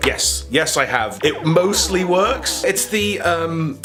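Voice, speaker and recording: Toy channel host, man, bunged-up nasal cold, upbeat jokey unboxing patter, slightly high voice, soft music underneath. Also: animated, slow pace. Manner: chatty, jokey, self-amused. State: congested, nasally. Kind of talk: casual unboxing chat.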